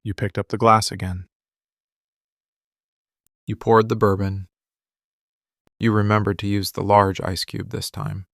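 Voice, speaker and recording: A low, gravelly mafia boss, acted out by a man, possessive and lovesick, in an intimate boyfriend ASMR roleplay. He talks in short, deliberate phrases with weary intensity and barely moves off one note. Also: little pitch movement, a bit bright, no echo, clean studio sound. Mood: longing, intense.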